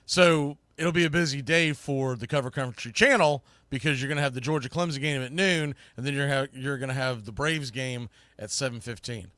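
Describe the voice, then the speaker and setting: A man's voice: medium pitch, fairly bright tone, dry recording. Casual male sports podcast host, dry close sound. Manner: informal, loose, halting with self-corrections. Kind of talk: casual rambling show talk to viewers.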